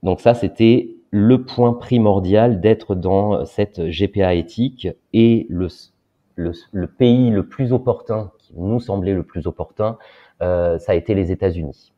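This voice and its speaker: A man in his thirties, a guest telling his story in an interview, pausing often with fillers. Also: on the low side. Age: 30s. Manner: hesitant, many filler pauses. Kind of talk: personal interview talk. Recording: dull lo-fi sound.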